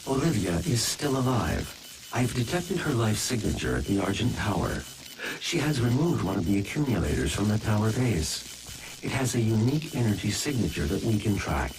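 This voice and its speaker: An actor playing a calm artificial intelligence in a video game, giving measured, informative mission briefings. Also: male voice, lowish voice, background noise. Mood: matter-of-fact. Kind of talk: video game cutscene acting.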